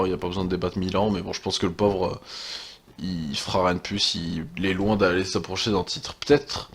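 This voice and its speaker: A young man with a stuffy cold, talking casually and hesitantly about wrestling as a channel host, with many fillers and sighs. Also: on the low side. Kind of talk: casual commentary monologue.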